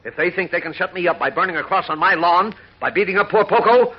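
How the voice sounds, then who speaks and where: An actor playing a blustering, excitable middle-aged newspaper chief in a 1940s radio drama. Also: male voice, high-pitched, fast talker, slightly rough. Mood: defiant. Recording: dull old radio recording.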